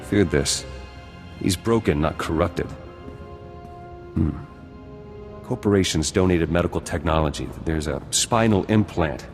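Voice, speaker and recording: A man's voice: sits low, measured pace, long pauses. An actor playing a hardened, terse rebel fighter in a video game, grim and suspicious, dropping small words as he talks. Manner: clipped speech that drops small words. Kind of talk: video game dialogue acting. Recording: long hall reverb, music behind.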